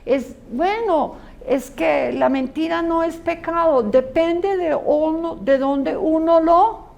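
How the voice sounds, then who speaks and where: A woman's voice: extremely high pitch, slow pace, creaky, a little mellow, muffled recording, slight background noise. A woman Bible teacher giving a conversational classroom lecture to students. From Colombia. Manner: earnest, conversational teaching with asides.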